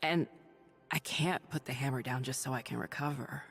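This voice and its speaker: Husky, weary, defiant sick woman hero. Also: rumbling low, long reverb tail, faint music behind, heavily acted. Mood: tired, confiding. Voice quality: husky, breathy, creaky. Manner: halting, confiding, resolute. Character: ailing woman doctor turned hero, stubborn, weary, defiant. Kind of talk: dramatic video game acting, audio log monologue.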